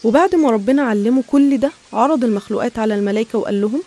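A woman's voice: on the high side, very fast, warm tone. A woman with an Egyptian Arabic accent narrating religious stories in a conversational, explanatory way. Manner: explanatory, storytelling.

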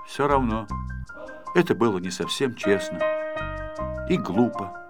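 A man's voice: fairly low pitch, expressive, unhurried, loud music behind the voice. A man voicing a naive, conscience-stricken little storybook hero, hesitant and earnest, in an audiobook. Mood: guilty, earnest. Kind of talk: audiobook character acting.

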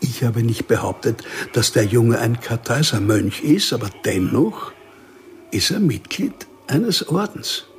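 A man acts out a fat, unremarkable male civil servant from a radio crime drama. He sets out his points in a dry, deliberate way with weighty pauses and quiet menace. His voice sits in the middle register, over faint ambient noise. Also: medium pitch, lively intonation, natural voice. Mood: dryly explanatory. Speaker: actor in a radio crime play.

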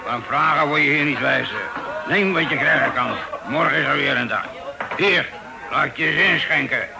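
An actor playing a convivial, coarse pirate sailor in a radio play, talking in a rough, chummy, cajoling way. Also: male voice, fairly high pitch, normal speed. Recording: very noisy old radio recording.

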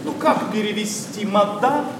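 A disillusioned young aristocrat railing at society in theatrical verse. His voice is high and declamatory, edged with sarcasm. It is heard at a distance in a reverberant hall, through strong noise. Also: unhurried. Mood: indignant fervor. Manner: declamatory, sarcastic, mimics people he mocks. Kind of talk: theatrical verse monologue, radio play. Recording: noisy, echoing theatre hall from afar.

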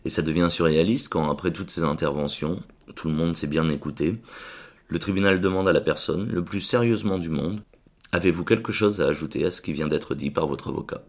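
A man reading a scripted radio column in a steady, explanatory way. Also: low voice, brisk.

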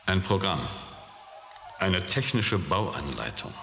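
An actor in a science fiction radio play, playing an intense, defiant scientist who speaks in an emphatic, pensive way. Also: male voice, low-pitched, very animated, takes it slowly, gravelly, bright, forceful tone, faint music behind, full character voice. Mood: insistent. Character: intense, brooding, defiant scientist, grown man. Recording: faintly hissy old radio drama recording.

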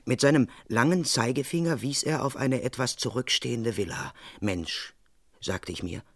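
A man's voice: mid-range voice, normal speed, no room sound, studio quality, natural voice. A man playing an ambitious, dutiful young London police constable in his twenties in a 1970s radio play, musing to himself or deferring to superiors. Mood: earnest, observant. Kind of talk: 1970s German radio play acting, monologue and dialogue.

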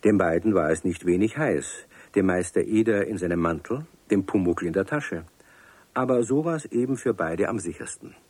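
A man narrating a 1970s children's radio play in a calm, measured storytelling tone. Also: deep voice, breathy edge, no echo.

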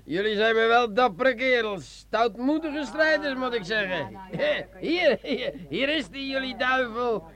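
An actor playing a boastful, jovial sergeant in a radio drama, boisterous and coaxing, with drawn-out theatrical delivery. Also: male voice, very high voice, expressive, fairly bright tone, heavily acted. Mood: boastful, triumphant.

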